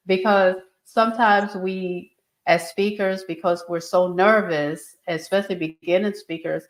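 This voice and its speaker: A woman's voice: fairly low pitch, roomy sound. A woman speaking coach explaining her advice in an earnest, instructive way during an interview. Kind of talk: interview answers.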